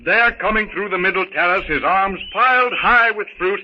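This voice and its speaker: A man's voice: sits high, moderate pace, lightly acted. A man narrating a jungle adventure serial in an earnest, dramatic 1930s radio style on a muffled, hissy recording. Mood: earnest, suspenseful.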